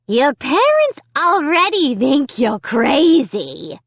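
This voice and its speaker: A woman voicing a cruel male ventriloquist dummy in a harsh, cold, whispery rasp, speaking slowly and menacingly in an audiobook. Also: high-pitched, huge pitch swings, no room sound, voice pushed up, heavily acted. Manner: slow, drawn-out menacing. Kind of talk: audiobook reading.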